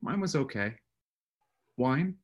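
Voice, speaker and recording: A man plays an awkward, conciliatory husband in a radio drama. His voice is husky and mid-pitched, and he speaks in short, hesitant phrases. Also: animated, takes it slowly. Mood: tentative. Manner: hesitant, terse. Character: Adam, awkward husband trying to make amends.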